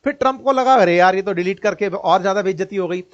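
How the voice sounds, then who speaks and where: A man's voice: high voice, quick pace, highs cut off. An Indian man giving informal, colloquial news commentary with a mocking edge, often putting rhetorical questions straight to his listeners.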